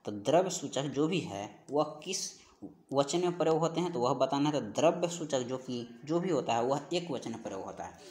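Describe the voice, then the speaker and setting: A man teaching an exam-prep grammar lesson, reading out questions and answers and repeating each one, slowing down on key phrases. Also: medium pitch, expressive, a little mellow.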